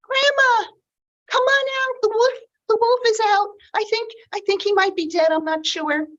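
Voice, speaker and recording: A woman voicing a frightened little girl in a very high, worried voice, in a storytelling recording. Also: steady tone, moderate pace, fairly bright tone. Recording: dull lo-fi recording.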